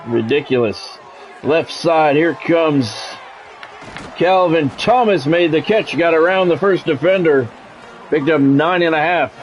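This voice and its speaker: A man's voice: on the high side, a little slow, some chatter behind, a little hiss or hum. A man streaming a football video game, mixing play-by-play commentary with casual, blunt, salty chat.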